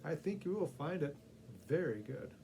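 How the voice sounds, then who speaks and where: A man's voice: a little high, expressive, normal speed, gravelly, dark tone, a little hiss or hum. A man voicing a very orthodox minister in a storybook tale, courteous, formal and solemnly earnest.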